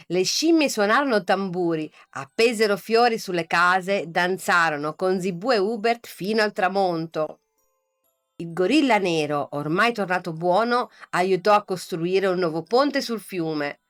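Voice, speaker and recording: A woman narrates a children's bedtime fairy tale in a soft, calm and lulling way. Her voice sits a little low. Faint music plays behind her. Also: a little slow. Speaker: storyteller. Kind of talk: scripted bedtime story narration.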